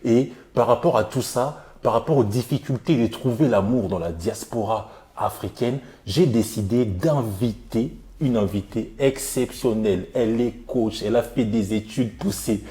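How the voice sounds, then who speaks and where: A man's voice: lowish voice, normal speed, mellow. A man hosting an interview show in French, speaking informally and conversationally and often interjecting.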